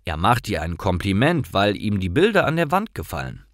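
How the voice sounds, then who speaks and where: Man, storyteller, scripted narration, deliberate, lively intonation, very dry close sound. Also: on the low side, no room sound. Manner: clear, simple, deliberate read-aloud delivery. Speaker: storyteller for German learners. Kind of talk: scripted narration of simple stories.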